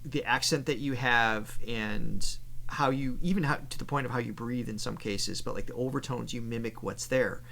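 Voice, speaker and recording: A man's voice: mid-range voice, normal speed, vocal fry, pushed and bright. A man from Rochester, New York, with a slightly nasal voice, a vocal coach explaining singing mechanics in casual, technical terms. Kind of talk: casual explanatory reaction chat.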